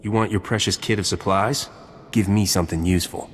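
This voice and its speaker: A man as a deep, sardonic smuggler captain. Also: fairly even intonation, brisk, a little hiss or hum, close to an everyday voice. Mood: scornful. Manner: sardonic, blunt. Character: cocky, blunt male smuggler captain. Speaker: game protagonist actor. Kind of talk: video game voice acting. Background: game music.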